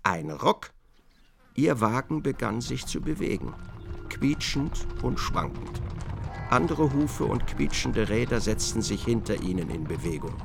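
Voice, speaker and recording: A man reads literary narration for a radio drama. His voice is mid-pitched with a clear gravelly creak, delivering scripted prose as the narrator. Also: lively intonation, measured pace, soft background music.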